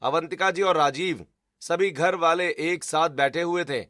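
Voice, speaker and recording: A man's voice: a little high, unhurried, pushed and bright, no room sound, clean studio sound. A man giving scripted narration for a cartoon family story.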